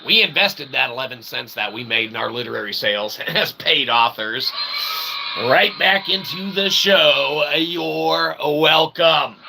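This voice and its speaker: A man hosting a comedy show in a rambling, mock-earnest monologue, halting and trailing off between phrases. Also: fairly high pitch, vocal fry, noisy background. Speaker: comedy show host and author.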